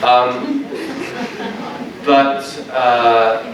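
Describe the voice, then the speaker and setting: A man, a dietitian giving a lecture to health workers in a large room, with a loud, strong voice and a passionate, joking manner. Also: medium pitch, little pitch movement, very slow, dull audio, a crowd in the background. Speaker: dietitian presenter. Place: large echoey training room. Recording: echoey room, speaker far from mic.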